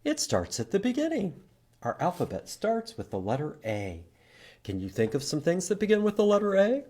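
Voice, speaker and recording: A man's voice: high voice, wildly swooping pitch, normal speed, dark tone. A man hosting a children's storytime, reading aloud and teaching letters in short, simple phrases with sing-song emphasis for young listeners. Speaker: children's storytime host at a library.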